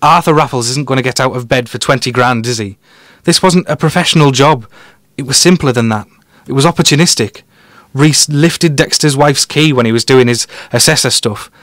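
A man playing a confident, quick-witted amateur detective in an audio drama, explaining his deductions in a teasing, conversational way. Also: mid-range voice, fairly bright tone, no room sound, studio quality, close to an everyday voice. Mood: confident, persuasive. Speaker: podcast guest detective.

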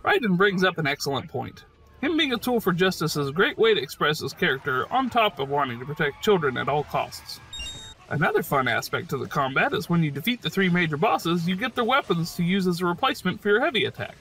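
Bright, animated male game commentator. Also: high voice, a little fast. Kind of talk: scripted video-essay commentary.

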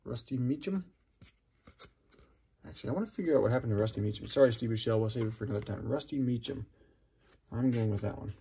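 A middle-aged man with a husky, gravelly voice, chatting casually and musing aloud as he hosts a card-opening video. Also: medium pitch, quick pace, long pauses, mellow, a little hiss or hum. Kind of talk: casual unscripted commentary while handling cards. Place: indoors at a wooden table.

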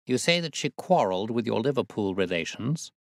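A man voicing a brisk, observant gentleman detective in a storybook reading, self-assured, precise, formal and courteous. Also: fairly low pitch, sing-song, dry recording, voice pushed up. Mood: courteous, probing.

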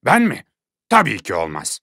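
A woman voicing a lazy, fussy farm dog in a children's cartoon, with a husky voice. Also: a bass voice, huge pitch swings, normal speed, fairly bright tone, dry recording, exaggerated voice. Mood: dismissive. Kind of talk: children's cartoon fairy-tale acting.

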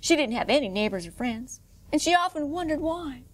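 Expressive high-voiced woman reading a children's story. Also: a little fast, very noisy. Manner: expressive, clearly paced for children. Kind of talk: read-aloud picture book narration. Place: indoor room, standing before a painted wall.

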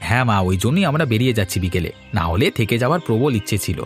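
A man voicing a busy son-in-law in a cartoon who talks briskly and impatiently. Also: fairly low pitch, sing-song, faint music behind. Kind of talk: cartoon voice acting.

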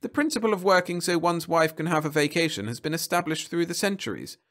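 Brief: storybook bureaucrat, acted by a male narrator; high, smug, officious, gloating over his clients. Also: expressive, fast, a bit bright. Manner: snappish, smugly bureaucratic. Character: smug, officious male government marriage counsellor, coolly gloating.

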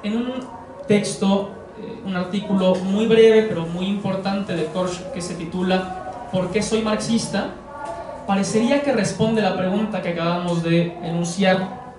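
Young male lecturer, Mexican accent, high voice, measured academic delivery, picked up from far off in a roomy space. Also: fairly even intonation, background noise. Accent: Mexican Spanish. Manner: measured, explanatory, frequent hedging fillers. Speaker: course lecturer. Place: living room with sofas, indoors. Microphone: far camera. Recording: echoey room from far off.

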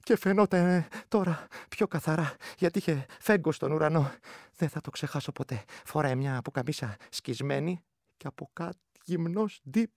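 A man's voice: on the high side, vocal fry, no room sound, clean studio sound. A man playing a rural Greek village soldier in a radio play, recounting his terror in earthy dialect with a rural accent. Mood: frightened recounting. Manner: earthy dialect storytelling, shifting between hush and outburst. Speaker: actor. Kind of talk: dramatic first-person storytelling in a radio play.

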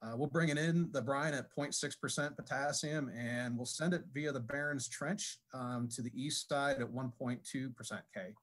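A man giving a technical presentation at a conference, speaking haltingly with many fillers and restarts. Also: mid-range voice, fairly bright tone. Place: conference session room.

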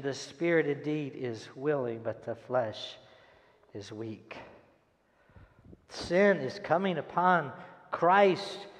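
Slow-paced older preacher in echoey hall. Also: male voice, fairly high pitch. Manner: dramatic, emphatic delivery with deliberate pauses. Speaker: pastor. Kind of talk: sermon with scripture reading. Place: large church auditorium stage, indoors. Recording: echoey hall, long reverb.

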